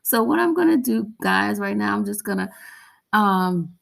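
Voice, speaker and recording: A woman's voice: mid-range voice, vocal fry. A woman hosting a casual interview over a video call, talking in a gushing, enthusiastic way. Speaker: podcast host.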